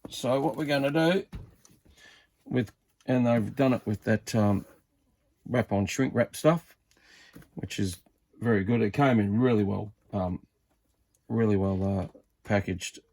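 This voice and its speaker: A man's voice: fairly low pitch, unhurried, long pauses, a little mellow. A man giving a casual, informal unboxing commentary, muttering to himself as he handles things. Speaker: hobbyist reviewer of vintage CB radios. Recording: clear background noise, handling clicks.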